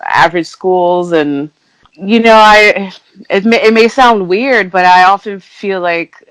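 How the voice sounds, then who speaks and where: A woman in her thirties, originally from Brazil, talks as a podcast guest in a loose, chatty way with plenty of "um"s and self-deprecating asides. Her voice is smooth and resonant. The sound is close and dry. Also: mid-range voice, slow pace, fairly bright tone, dry recording, rough recording. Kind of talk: casual conversational interview.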